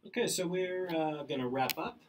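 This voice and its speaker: A man co-hosting a live-streamed question-and-answer session, talking casually and haltingly with many "uh"s. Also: on the high side, background noise. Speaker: company staff host answering questions.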